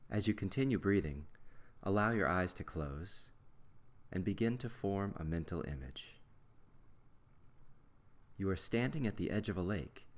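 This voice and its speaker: Very low-pitched man guiding a meditation. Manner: measured, instructional delivery with deliberate pauses. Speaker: guided meditation narrator. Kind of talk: scripted guided visualization.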